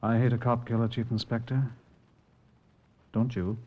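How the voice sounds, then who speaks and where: An actor playing a keen, dogged junior detective in a 1950s radio crime drama, terse and matter-of-fact. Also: male voice, medium pitch, flat delivery, moderate pace, lightly acted. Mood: keen, determined. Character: keen, dogged male police subordinate detective. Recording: muffled old-time radio recording.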